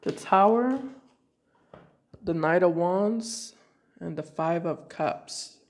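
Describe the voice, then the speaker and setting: A woman's voice: fairly low pitch, takes it slowly. A woman reading tarot cards for her viewers, talking casually and thinking aloud with hesitant restarts, while sick with a cold. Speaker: tarot reader. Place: home room with decorated card table.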